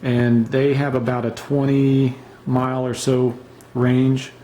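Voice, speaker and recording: Relaxed low-key man reviewing gear. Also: takes it slowly, a little hiss or hum. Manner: relaxed, unscripted, many fillers and restarts. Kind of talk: casual show-and-tell review.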